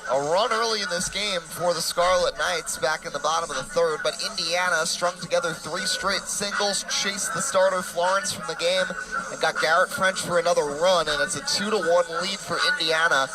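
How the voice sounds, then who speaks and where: A young man calling a college baseball game play-by-play, brisk and packed with stats. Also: high-pitched, steady tone, over music, low-quality recording. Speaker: play-by-play announcer.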